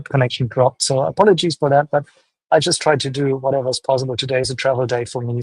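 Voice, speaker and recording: Man, stock commentator, flat monotone delivery, dry close sound, hesitant with fillers. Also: medium pitch, no room sound, poor audio. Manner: measured, hesitant, many fillers. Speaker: stock commentator streaming an earnings call. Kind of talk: live commentary. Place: airport.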